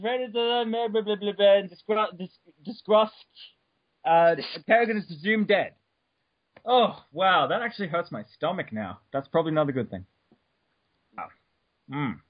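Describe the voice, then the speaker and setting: Man, high voice, mock-dramatic reading, sudden shouts, trips over words, dull dry lo-fi recording. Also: expressive, moderate pace, pauses often, no echo. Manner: over-the-top, bursts into shouts, stumbles over words. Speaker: reader.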